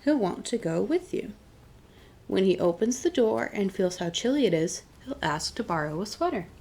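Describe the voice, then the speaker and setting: A woman reading aloud as a children's storytime host, deliberate and expressive in her delivery. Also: medium pitch. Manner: clear, deliberate picture-book reading with expressive emphasis. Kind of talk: read-aloud storytime narration.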